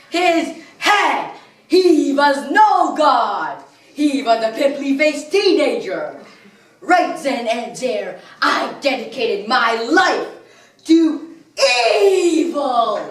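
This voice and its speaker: A young girl playing a bitter, grandiose male villain in a theatrical stage monologue, with dramatic pauses and swelling outbursts. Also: mid-range voice, fairly bright tone. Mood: outraged. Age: child or young teenager, 13 or under. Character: melodramatic male soap-opera villain, bitter and grandiose crime lord. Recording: distant, echoey room with background noise.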